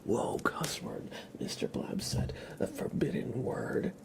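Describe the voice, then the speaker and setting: A man hosting an ASMR livestream, chatting to viewers in a hushed, gentle, often whispered manner. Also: slow pace. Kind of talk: ASMR, soft-spoken livestream chat.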